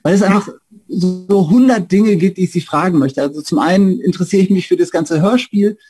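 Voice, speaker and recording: A man's voice: high-pitched, a little fast, mellow, breathy edge, dry recording. A man in his forties hosting a casual interview, talking eagerly and correcting himself as he rambles. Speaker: host, professor. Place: indoors, room with bookshelves, video call.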